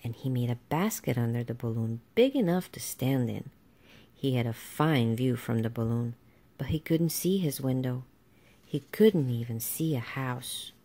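A woman narrates a children's picture book in a low-pitched voice. Her delivery is slow and unhurried, with a soft, mellow tone suited to reading aloud. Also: lively intonation. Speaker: storybook reader.

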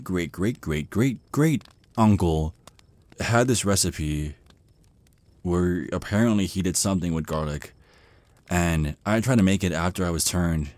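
A man's voice: low-pitched, a little mellow, no room sound. A man playing a shy, nervous male vampire in an ASMR roleplay, stammering and hesitating apologetically as he talks. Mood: nervous, chatty. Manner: stammering, hesitant, flustered, rambling. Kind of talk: ASMR roleplay monologue, conversational.

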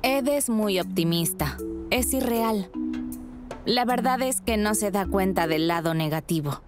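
A woman's voice: medium pitch, animated, a little slow, close to an everyday voice. A woman with a Latin American Spanish accent dubbing a skeptical, protective young woman in a reality show. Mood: skeptical, concerned. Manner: frank, questioning. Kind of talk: dubbed reality show talk and confessional. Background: background music.

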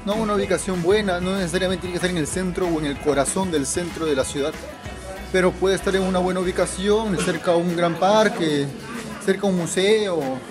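A man in his twenties giving informal advice to camera, speaking instructively and repeating phrases for emphasis. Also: high voice. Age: 20s. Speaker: travel vlogger and guide. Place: outdoors in city square and narrow streets. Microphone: camera at arm's length.

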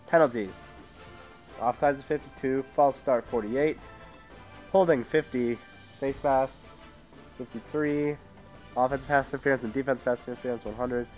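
Sick teen gamer from Idaho, sore throat, rambling home commentary on a headset, mid pitch. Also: male voice, a little mellow, low-quality recording. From Idaho, USA. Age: teens. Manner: rambling, self-interrupting, reads out settings. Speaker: gaming YouTuber. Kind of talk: casual gameplay commentary. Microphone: headset mic with tangled cords.

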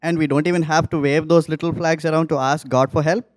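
A man plays a bookish young animal puppet in a children's show. The voice is fairly high for a male, recorded dry and close, with an inquisitive way of talking. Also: fairly even intonation, fast, no room sound, lightly acted. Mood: curious.

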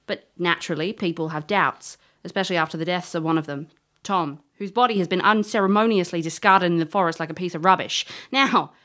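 A woman's voice: fairly low pitch, brisk, a bit bright, natural voice. A woman playing a bold, sarcastic magic scholar and adventurer in an audio drama. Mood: accusing. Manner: sarcastic, cheeky, confident.

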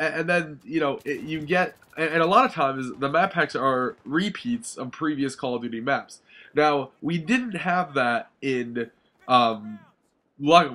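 A young man talks over his gameplay footage, his voice thick and nasal from a sinus infection. His tone is bright and edgy, at a middling pitch. He rambles casually, swears freely and keeps correcting himself mid-sentence. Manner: rambling, swears, many ums and self-corrections. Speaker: gaming commentator.

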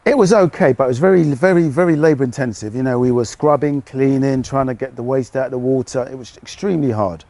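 A middle-aged British man, a fish farmer, explaining his work outdoors in a relaxed, hesitant way. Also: medium pitch, fast, hardly any pauses, no echo. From United Kingdom. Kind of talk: casual interview answers.

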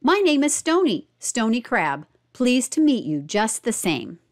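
Woman as a teasing, animated storybook crab. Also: a little high, a little slow. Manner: teasing, cheerful.

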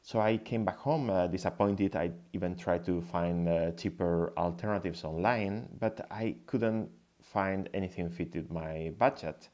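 A man from Spain with a Spanish accent giving an explanatory slide lecture, pausing hesitantly as he goes. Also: deep voice, a little mellow. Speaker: guest lecturer, tech founder.

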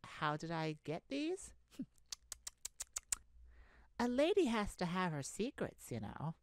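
Woman playing Vivian, slow-talking flirtatious lady thief. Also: fairly low pitch, big pitch swings, no echo, studio quality, exaggerated voice. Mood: coy, inviting. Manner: coy, teasing, leisurely with breathy chuckles. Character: Vivian, flirtatious charming glamorous lady thief, sweetly romantic. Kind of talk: romantic ASMR roleplay addressed to the listener. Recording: music behind the voice.

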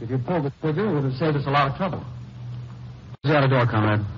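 Actor as sneering villain, muffled old radio. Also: male voice, mid-range voice, lively intonation, very fast, a little hiss or hum, lightly acted. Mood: sneering, smug. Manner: sardonic, taunting. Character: Joe Ainsley, sneering male villain, party ringleader. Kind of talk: 1950s radio drama acting. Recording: muffled vintage radio recording.

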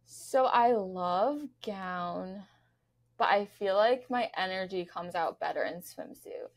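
A woman's voice: mid-range voice, expressive, takes it slowly. A young woman from New York in casual interview chat as a podcast guest, speaking close to a studio microphone. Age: young adult. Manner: chatty, peppers talk with "like". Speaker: podcast guest, pageant contestant. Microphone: close studio microphone on boom arm.

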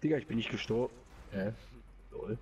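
A man's voice: fairly low pitch, a little fast, darkish tone, recorded from a distance, poor audio. A young man with a cold, chatting casually and using slang while he plays a racing game. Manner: chatty, slangy, laughs easily. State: has a cold. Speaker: channel host (Nico). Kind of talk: casual gameplay commentary.

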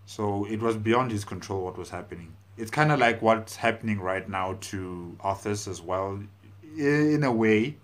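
A man in his thirties giving casual, halting, thoughtful commentary as a video host, indoors on a lapel mic. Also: lowish voice. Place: small room indoors.

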